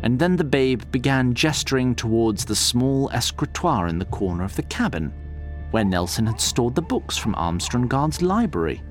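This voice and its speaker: A male narrator tells a scripted fantasy story with theatrical deliberation and wry touches. His medium-pitched voice rises and falls expressively, with music clearly audible behind it. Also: big pitch swings, normal speed.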